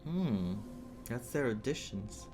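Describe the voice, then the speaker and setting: A man's voice: mid-range voice, lively intonation, slow pace. A man giving casual playthrough commentary on a story game, informal and musing aloud as he goes. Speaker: gaming YouTuber playing through a story quest.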